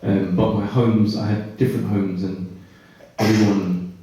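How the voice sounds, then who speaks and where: A man on a conference panel telling his own story, reflective and pausing mid-thought. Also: on the low side, flat delivery, mellow. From Britain. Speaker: panelist, care leaver. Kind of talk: personal testimony on a panel. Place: conference hall. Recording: echoey hall, voice far from mic.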